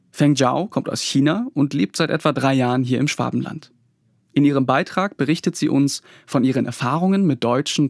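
A male radio host reads his scripted links in a plain, even manner. His voice sits at a middle pitch and sounds dry and close to the mic. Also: dry recording.

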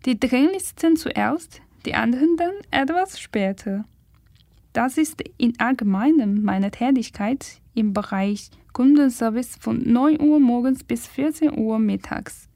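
Young woman reading her report, slow and careful, kinda high voice, dry close mic. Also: vocal fry, dark tone, no echo. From China. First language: Chinese. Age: young adult. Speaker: student reporter. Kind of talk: scripted first-person report.

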